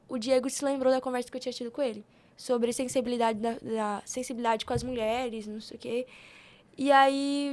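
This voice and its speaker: Young woman, Brazilian accent, higher register, informal storyteller, podcast guest. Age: young adult. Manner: informal and slangy, retelling conversations in quoted dialogue. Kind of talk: casual personal storytelling.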